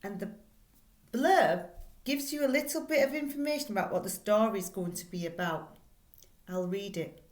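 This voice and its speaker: A middle-aged woman teaching, reading a children's book aloud in a deliberate, expressive way and putting questions to her listeners. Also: medium pitch. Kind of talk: read-aloud lesson for pupils, reading and explaining a children's book. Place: small plain indoor room. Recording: echoey, roomy indoor recording.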